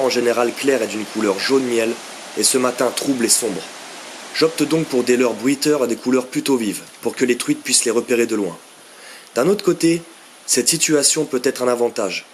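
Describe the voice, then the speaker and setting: A man's voice: mid-range voice, a little fast, slight background noise. Wry French man in his thirties narrating. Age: 30s. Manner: wry, self-mocking humour. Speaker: fishing vlogger. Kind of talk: scripted voice-over narration. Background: background music.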